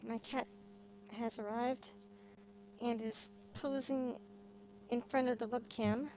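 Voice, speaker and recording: A woman's voice: a little high, fairly even intonation, takes it slowly, a little mellow, slight background noise, low-quality recording. A woman streamer giving casual live gameplay commentary and chatting with viewers as she plays. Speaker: game streamer, VTuber. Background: game music.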